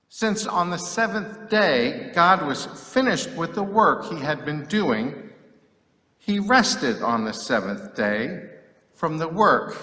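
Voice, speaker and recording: A man's fairly high, bright, forceful voice rings out through a hall with long reverb. He reads scripture in a solemn, dramatic style, declaiming each phrase deliberately and leaving long pauses between them. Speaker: scripture reader.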